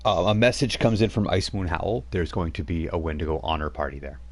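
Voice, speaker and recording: A man's voice: low voice, fairly even intonation, fairly quick. A man narrating as game master in a live-streamed tabletop game, rambling with many fillers. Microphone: home microphone on a live stream.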